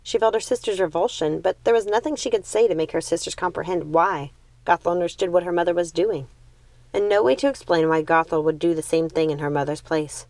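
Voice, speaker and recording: A woman reading a young adult storybook aloud as an expressive audiobook narrator. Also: lowish voice, fairly quick, dry recording. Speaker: audiobook reader.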